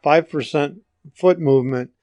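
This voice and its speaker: A man's voice: fairly high pitch, measured pace, darkish tone, no room sound, faint music behind. An older man giving casual interview answers with hesitant, dry understatement. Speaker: podcast guest, the hosts' father.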